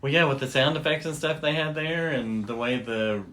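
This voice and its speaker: A man's voice: medium pitch, normal speed, a bit bright. A man co-hosting a show, explaining things in a casual, enthusiastic and informal storytelling way. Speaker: podcast co-host.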